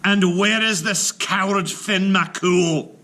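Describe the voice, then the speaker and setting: A man voicing a boastful, bullying male giant in a folktale read aloud, exclaiming loudly. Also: sits high, fairly even intonation, slow, pitched above the performer's own voice, exaggerated voice. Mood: challenging. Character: blustering, bullying male Irish giant, loud glutton.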